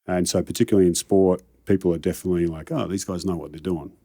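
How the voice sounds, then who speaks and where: A man's voice: low voice, fairly even intonation, fast, dark tone, no room sound, studio quality. A middle-aged Australian man, a coach telling his career story in a casual interview, rambling and self-deprecating. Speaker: high-performance coach, guest.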